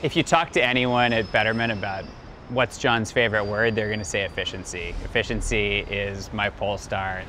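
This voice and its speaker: A man's voice: on the low side, fairly quick, sharp tone, slight background noise. A man in his thirties talking informally about his life and work, explaining as an executive in a casual interview. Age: 30s. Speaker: company chief executive being profiled. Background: background music.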